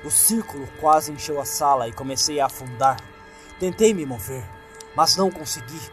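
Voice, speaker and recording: A man's voice: on the high side, normal speed, fairly bright tone, soft background music. A man with a Brazilian accent reads a scripted horror story in a dramatic, suspenseful manner. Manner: suspenseful, acts out the lines.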